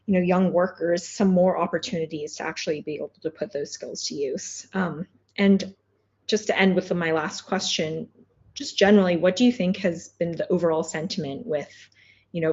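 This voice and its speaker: Lowish-voiced woman interviewer. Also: normal speed, darkish tone, lo-fi sound. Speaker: interviewer, host. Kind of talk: conversational news interview.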